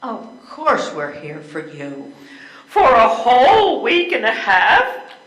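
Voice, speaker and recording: A woman's voice: on the high side, wildly swooping pitch, slow, a bit bright, heavily acted. An older woman playing an emotional lady in an amateur reading of a period stage play. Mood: plaintive. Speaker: amateur readers-theater player. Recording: echoey room.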